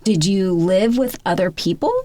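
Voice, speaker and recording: A woman's voice: mid-range voice, moderate pace, dark tone, slight background noise. A woman hosting an interview, asking casual questions and responding with encouraging remarks. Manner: friendly, encouraging with short backchannels. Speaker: podcast host.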